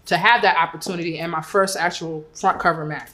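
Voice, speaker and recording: A woman's voice: fairly low pitch, fairly even intonation, brisk, sharp tone. A woman who is a rapper and a mother, answering interview questions in an upbeat, chatty way with many fillers. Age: adult mother.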